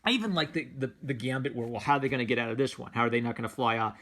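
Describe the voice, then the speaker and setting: A man's voice: medium pitch, racing, a bit bright. A man giving casual, unscripted commentary as a comic book reviewer, enthusiastic and rambling, often circling back on his phrases.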